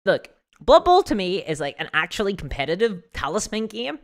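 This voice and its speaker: Animated, hoarse livestreamer, talking fast. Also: mid-range voice. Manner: self-deprecating; giggly, laughs often while talking. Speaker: livestream host. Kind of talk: casual livestream chat about tabletop games, reading out viewer comments.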